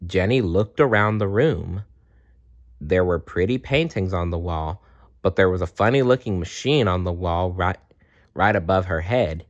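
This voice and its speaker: A man's voice: deep voice, normal speed. A man reading a children's storybook aloud as narrator, on a dull, lo-fi recording. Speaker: storybook reader.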